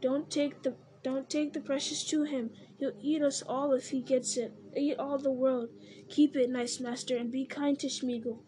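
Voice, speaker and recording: A scrawny, pitiful male creature whines and begs in a high, pleading voice, his tone soft and mellow underneath. A woman reads him aloud in an audiobook, pushing her voice upward. There is a faint hiss behind. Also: steady tone, moderate pace, natural voice. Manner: whining, pleading, speaks of himself by name. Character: wretched wheedling male creature, scrawny, fawning.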